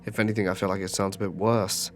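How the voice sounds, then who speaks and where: A man hosting a horror story channel, hoarse from a cold, narrating and then chatting through an apologetic outro. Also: sits low, little pitch movement, quick pace, slight background noise.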